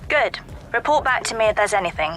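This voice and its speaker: A woman's voice: on the high side, expressive, fast. A woman playing a stern, commanding agency handler in a game, giving orders with urgent authority. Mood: cautioning. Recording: loud music behind.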